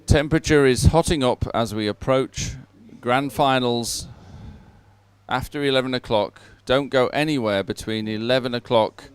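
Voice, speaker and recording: A man hosts live sports coverage in a crisp, clear voice at a middling pitch. He speaks off the cuff, chatty and a little halting as he keeps viewers informed. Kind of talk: unscripted live event presenting.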